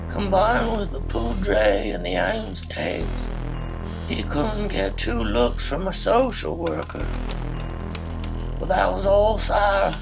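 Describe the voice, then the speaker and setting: Scornful country rambler, acted by a man, gravelly, swinging widely in pitch, music underneath. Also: heavily acted, rough recording. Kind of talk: surreal audio drama monologue.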